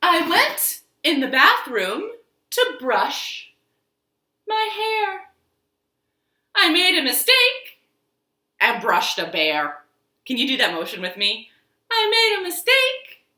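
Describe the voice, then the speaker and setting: Sing-song woman storyteller, very animated and bright. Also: very high-pitched. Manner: expressive, sing-song, exaggerated delivery for young children. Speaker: children's storytime host at a library. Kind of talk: children's storytime with action songs, rhymes and picture-book reading. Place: living room at home. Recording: echoey room.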